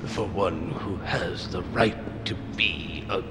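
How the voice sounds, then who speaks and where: An actor playing a dying, bitter old mastermind with delusions of godhood, halting and grandiose, in a video game. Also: male voice, low-pitched, heavy background noise. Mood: bitter, fading. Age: older. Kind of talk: dramatic video game cutscene acting. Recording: echoing hall, loud dramatic music behind.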